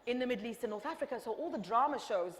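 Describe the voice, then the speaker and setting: Woman, fired up, keeps repeating herself for punch, kinda high voice, big echoey hall. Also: animated, racing. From Egypt. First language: Arabic. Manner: impassioned, rhetorical repetition. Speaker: author and panel speaker. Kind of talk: lecture Q&A answer. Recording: reverberant hall.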